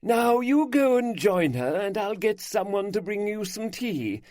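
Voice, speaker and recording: A man's voice: a little slow, no echo, clean studio sound. A man voicing a chatty, gossipy older woman, an aunt showing detectives around her gardens. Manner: lively, raised pitch, gossipy.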